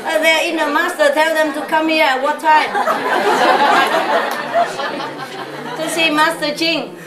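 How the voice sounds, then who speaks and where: A woman's voice: high voice, lively intonation, slow pace, over a noisy crowd, very noisy. A woman from Vietnam, speaking as a spiritual teacher to a live audience. She talks in a chatty, laughing way, often ending sentences with little questions like "no?". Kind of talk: informal talk to a live audience. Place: lecture hall with audience. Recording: echoey hall, audience laughter.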